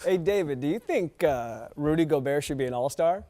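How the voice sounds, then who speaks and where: A man's voice: a little high, lively intonation, moderate pace, mellow. A man hosting a casual sports talk interview, working his way loosely and hesitantly toward his questions. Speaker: sports radio host asking questions.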